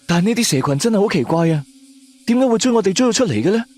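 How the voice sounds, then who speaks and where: A man's voice: high voice, animated, rapid-fire, darkish tone, no echo. A man with a Cantonese accent playing a worried, principled companion in an audio drama, earnest and exasperated. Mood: puzzled, exasperated. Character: worried, principled companion who scolds and questions. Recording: music bed under the voice.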